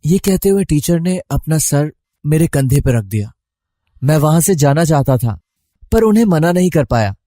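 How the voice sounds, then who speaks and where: A man's voice: a little high, very animated, moderate pace, warm tone, dry recording. A young man narrating a scripted first-person story as a college student.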